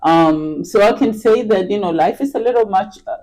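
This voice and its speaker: A middle-aged woman from Kenya, a podcast guest talking in an unhurried, casual way, with lots of fillers and restarts. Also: medium pitch, a crowd in the background, low-quality recording. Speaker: podcast guest, real estate agent. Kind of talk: casual interview chat.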